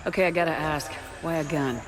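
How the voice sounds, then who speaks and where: A woman's voice: sits low, a little fast, crowd noise, natural voice. A woman playing a tough, sceptical military commander in a video game, with a dry, questioning delivery. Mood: wry.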